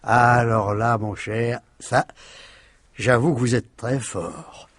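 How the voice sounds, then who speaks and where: An actor playing an ailing, sardonic middle-aged husband in a French radio mystery, with a husky voice and ironic, drawling delivery. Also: male voice, mid-range voice, fairly even intonation, takes it slowly, no room sound, close to an everyday voice. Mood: mocking. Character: ailing, sardonic, bedridden husband suspected of murder.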